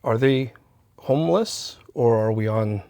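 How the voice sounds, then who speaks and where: A man from Chile, a community safety consultant, talking openly and in a measured way in an interview about recovery and life without shelter. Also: medium pitch, a little mellow, no echo, clean studio sound. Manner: open, measured, speaks frankly about recovery. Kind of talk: candid interview conversation. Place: theatre stage, live show.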